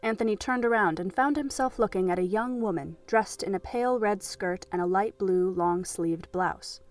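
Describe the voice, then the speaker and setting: Female storyteller, medium pitch. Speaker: narrator. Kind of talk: scripted story narration.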